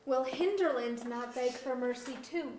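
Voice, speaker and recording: A woman plays an imperious sorceress conqueror, vengeful and high-voiced. Her archaic verse comes slowly and deliberately, from across an echoey auditorium. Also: a little mellow, slight background noise. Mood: imperious, cruel. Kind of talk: staged reading of archaic verse drama. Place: museum auditorium, staged reading. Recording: echoey auditorium, distant mic.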